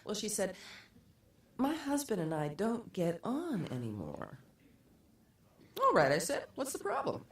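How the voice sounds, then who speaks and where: A woman's voice: medium pitch, huge pitch swings, a little slow, pauses often. An actress playing a sanctimonious female TV evangelist who preaches wifely advice with emphatic, theatrical repetition. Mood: folksy, confiding. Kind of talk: theatrical televised sermon. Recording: distant roomy echo with crowd noise.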